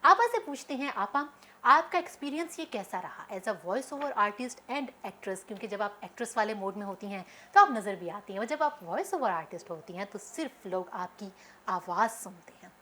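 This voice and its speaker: A woman's voice: mid-range voice, lively intonation, normal speed, a bit bright, a little hiss or hum. A woman with a Pakistani accent hosting a TV talk show, effusive and emphatic, often mixing in English.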